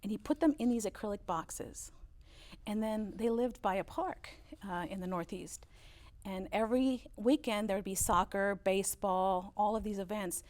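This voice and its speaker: A woman in her fifties, German her first language, recalls her family's history in an unhurried interview. She tells her stories at a middle pitch, pausing often on "uh" and warming up as each story goes on. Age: 50s. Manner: storytelling, warm recollection with frequent "uh" fillers. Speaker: interview guest, business owner. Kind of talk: relaxed interview conversation.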